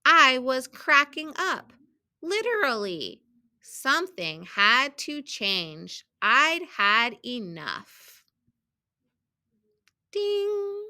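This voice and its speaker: A woman voicing an earnest, helpful, overburdened egg from a children's storybook, reading expressively and deliberately with emphatic exclamations. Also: fairly high pitch, sharp tone, clear, resonant tone, exaggerated voice. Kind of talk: children's picture book storytelling.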